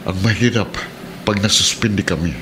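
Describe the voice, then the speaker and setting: A man acts a troubled, earnest Filipino policeman and husband in a radio drama. The voice is very deep, with clear noise in the background. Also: normal speed, close to an everyday voice.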